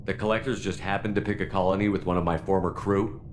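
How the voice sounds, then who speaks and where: A man's voice: low voice, steady tone, fast, a little hiss or hum, close to an everyday voice. A man playing a resolute military commander in a video game, with a direct, businesslike way of talking.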